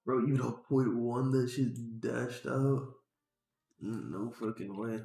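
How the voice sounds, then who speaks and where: A man's voice: medium pitch, fairly even intonation, slow pace, creaky, warm tone. A man thinking aloud casually on a livestream as he works, muttering to himself in slangy, sweary talk. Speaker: game developer live-streaming work. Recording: roomy echo.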